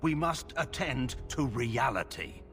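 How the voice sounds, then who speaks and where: A scornful veteran general with a widely moving pitch. He sounds grave and disapproving, pressing his points forcefully in dramatic fantasy game style, over faint music. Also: expressive, takes it slowly. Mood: scornful, warning. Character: stern, scornful veteran general and royal adviser. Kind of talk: dramatic video game voice acting.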